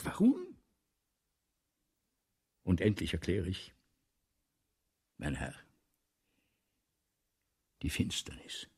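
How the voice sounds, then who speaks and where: Husky, grandiose old writer. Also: male voice, low voice, darkish tone, full character voice. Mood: grandiose, musing. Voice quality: husky, breathy, often dropping to a whisper. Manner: theatrical, pompous, halting and repetitive. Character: vain, ailing, forgetful old French writer, grandiose and rambling. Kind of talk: 1970s German radio play acting.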